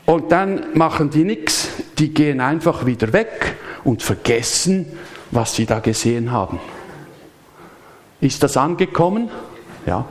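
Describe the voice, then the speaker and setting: Man giving a sermon, chatty, questions the congregation, church service. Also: fairly high pitch. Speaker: pastor.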